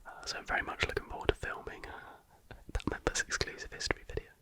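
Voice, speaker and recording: A British ASMR host whispering casual, chatty reaction commentary in a hushed, informal, rambling way. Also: quick pace.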